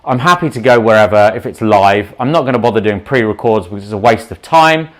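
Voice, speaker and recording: A man's voice: on the low side, expressive, brisk. A man, a doctor, talking unscripted to viewers on a livestream in an outspoken, impassioned way that rambles into asides, with a slight sore throat and sniffle. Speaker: doctor giving a livestream talk.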